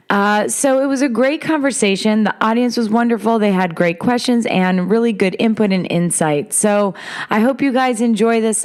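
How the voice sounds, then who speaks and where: A woman hosting a podcast in a casual, chatty way, her voice raspy and hoarse from talking too much. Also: mid-range voice. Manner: chatty, relaxed, rambling. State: hoarse, talked out. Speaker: podcast host.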